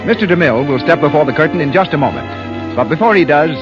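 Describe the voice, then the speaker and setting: A man's voice: a little high, animated, fast. A man announcing in a polished, emphatic scripted style on a 1930s radio broadcast. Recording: muffled vintage 1930s radio broadcast, music behind.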